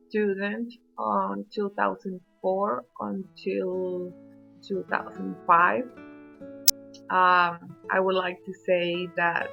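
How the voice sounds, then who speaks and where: A woman from Venezuela, a former exchange student recording a measured, hesitant tribute. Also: medium pitch, gravelly. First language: Spanish. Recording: dull lo-fi audio, faint guitar.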